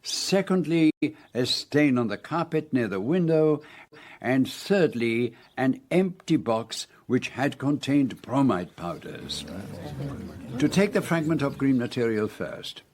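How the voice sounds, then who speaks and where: An actor playing a fussy, courteous Belgian detective in a radio drama, with a French-speaking Belgian accent and precise, formal speech. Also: male voice, mid-range voice, big pitch swings, slow pace, a little mellow. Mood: measured, expository. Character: fussy, theatrical middle-aged Belgian male detective.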